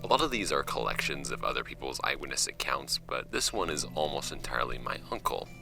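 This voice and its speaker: An actor playing a wry, offhand young man narrating his homemade podcast about his late uncle's files in an audio drama, with a gravelly voice. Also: big pitch swings, music in the background, full character voice. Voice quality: very deep, gravelly. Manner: wry, offhand, halting when ad-libbing, measured when reading.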